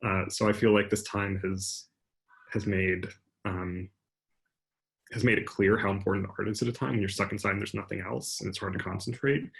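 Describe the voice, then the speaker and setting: Man, very low pitch, creaky voice, artist on a panel, reflective conversational talk. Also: steady tone, normal speed. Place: online video panel during lockdown.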